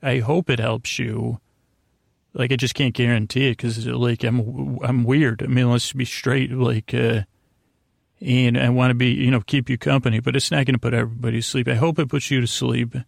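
A man hosting a bedtime podcast in a rambling, halting monologue, stammering and interrupting himself with whimsical silliness. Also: medium pitch, little pitch movement, no room sound, studio quality. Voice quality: gravelly, creaky. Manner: whimsical, silly wordplay. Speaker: podcast host.

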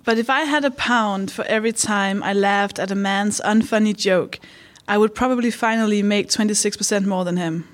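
A woman's voice: medium pitch, fairly even intonation, a little fast, hardly any pauses, a little hiss or hum. A woman with a Danish accent co-hosting a live comedy podcast, delivering dry one-liner jokes. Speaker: podcast co-host. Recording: live stage with music and audience.

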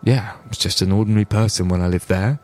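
A steady resistance leader, a big strong woman, earnest and reassuring, as a game master puts her on in a low man's voice. The tone stays plain and sincere. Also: fast, close to an everyday voice.

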